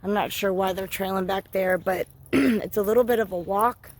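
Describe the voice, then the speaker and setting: Hoarse woman vlogging on a trail. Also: mid-range voice, moderate pace, a little mellow. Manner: chatty, lots of ums, talking while walking dogs. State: hoarse from a cold. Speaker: hiking vlogger. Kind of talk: casual walking vlog. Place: outdoors on a wooded trail.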